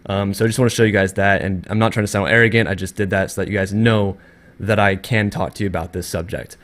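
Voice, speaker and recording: A man's voice: lowish voice, fairly even intonation, quick pace, fairly bright tone. A man with a cold, congested and a little scratchy, hosting an earnest, casual gaming tutorial and often circling back to restate his points.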